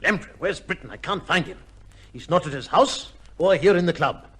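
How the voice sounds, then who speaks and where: An actor playing a shrewd, measured gentleman adviser in an old radio drama, speaking formally and firmly. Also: a little high, expressive, slightly rough. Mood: urgent. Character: cool, shrewd gentleman adviser to an accused judge.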